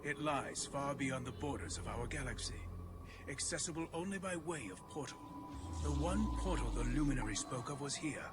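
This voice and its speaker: A man's voice: mid-range voice, expressive, moderate pace, lightly acted. A man playing a knowing alien informant in a game cutscene, formal and portentous. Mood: grave revelation. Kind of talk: cinematic game cutscene acting. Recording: loud music over the voice.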